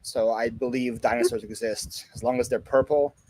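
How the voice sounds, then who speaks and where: A man's voice: mid-range voice, level tone, moderate pace, darkish tone. A man in his thirties from Ukraine with a Ukrainian accent tinged with Philadelphia, hosting a casual chat and joking and laughing at his own lines. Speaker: host.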